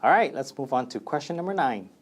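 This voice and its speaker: A man's voice: medium pitch, lively intonation, fast talker. A man presenting a product FAQ as a company presenter, explaining things plainly and step by step in a casual demonstration style.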